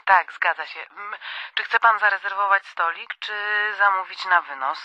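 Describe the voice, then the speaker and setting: A woman's voice: medium pitch, normal speed, sharp tone, no echo, highs cut off. An actress playing a friendly, eager, polite Polish woman in a radio drama, heard through a telephone filter. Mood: businesslike. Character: friendly, eager Polish woman taking a restaurant order, former interviewer. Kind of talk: naturalistic radio drama acting.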